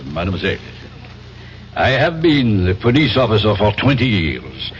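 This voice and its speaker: Swooping, low-voiced French police inspector. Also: male voice, big pitch swings, normal speed. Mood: formal, warning. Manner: formal, sardonic. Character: suspicious, courtly veteran French police inspector. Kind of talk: 1970s radio drama acting. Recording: dull old radio recording, faint noise.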